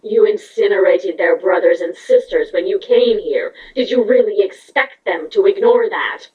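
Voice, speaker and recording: A woman's voice: medium pitch, normal speed, close to an everyday voice. A woman voicing a proud, defeated changeling queen in a cartoon pony fan fiction drama, mocking, weary and bitter. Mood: wary, accusing. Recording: echoey, dull, distant from the mic.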